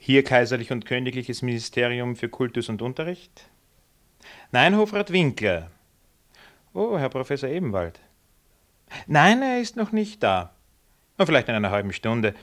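An actor playing an affable, ironic ministry official in a radio drama, courteous and wry. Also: male voice, medium pitch, sing-song, moderate pace, fairly bright tone. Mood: officious, amused. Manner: courteous, wry, chuckling asides. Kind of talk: 1960s German radio drama acting.

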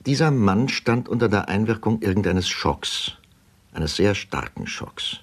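An actor playing a grave male witness in a 1970s radio drama, recounting deliberately with a husky voice. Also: lowish voice, expressive, exaggerated voice.

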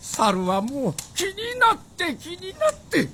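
甲高くおどける家来の侍. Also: male voice, very high voice, wildly swooping pitch, slow, bright, forceful tone, full character voice. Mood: eager, deferential. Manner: obsequious, theatrical, deferential speech. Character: fawning, clownish samurai retainer nicknamed Monkey. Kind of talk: Japanese period radio drama acting.

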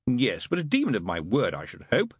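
A man's voice: mid-range voice, huge pitch swings, brisk, husky, no room sound, clean studio sound, pitched above the performer's own voice. A man voicing a worldly, world-weary demon in an audiobook, with a sarcastic, offhand, drawling manner. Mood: sardonic.

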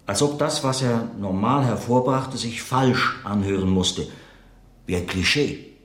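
A man's voice: lowish voice, unhurried, echoey room, noisy background, lightly acted. An actor playing a reflective man in a radio play, searching hesitantly and earnestly for words as he remembers a friend. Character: reflective man recalling an enigmatic late writer friend. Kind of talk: naturalistic German radio play acting, interview-like monologue.

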